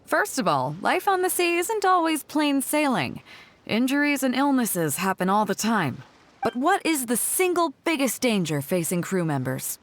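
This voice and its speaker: A woman's voice: a little high, sing-song, moderate pace. A woman voicing a bold, teasing female fleet captain in a video game, casual and confident. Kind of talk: scripted video game dialogue.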